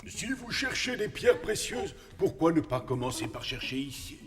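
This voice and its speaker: A man's voice: a little high, big pitch swings, moderate pace, a bit bright, faint music behind. A man voicing a courteous, jovial animal envoy with a ceremonious, obliging manner in a French cartoon dub.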